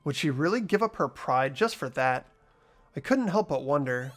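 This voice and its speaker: A man voicing an earnest, easily startled teenage schoolboy in an amateur game dub, stammering and expressive. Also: fairly high pitch, moderate pace, lightly acted. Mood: pensive. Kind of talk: amateur voice acting in a visual novel playthrough.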